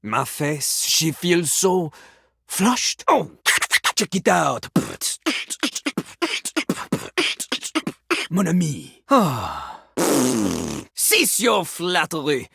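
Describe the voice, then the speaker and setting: A cocky talking toilet who fancies himself a great French rapper. The voice is high and swoops dramatically, with a thick layer of pompous swagger and a rough, husky edge. It is a combative, theatrical video game character with a French accent. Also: male voice, big pitch swings, drawn-out words, pauses often, fairly bright tone, no room sound, studio quality, heavily acted. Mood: self-satisfied, coy. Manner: pompous, theatrical, speaks of himself in third person. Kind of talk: video game character acting, bursts of rap.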